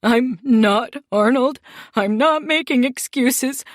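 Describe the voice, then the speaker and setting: A woman's voice: dry recording, clean studio sound. A woman doing a man begging for his life. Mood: desperate pleading. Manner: high, loud, fast, blubbering pleas.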